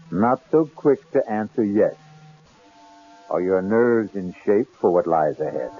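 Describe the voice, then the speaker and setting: Male host for vintage radio chiller: deep-leaning, deliberate, menacing and playful, muffled broadcast sound. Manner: ominous, teasing, dramatic pauses. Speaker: host of a radio mystery series. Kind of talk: theatrical old-time radio horror introduction. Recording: dull vintage radio broadcast, music behind. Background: music.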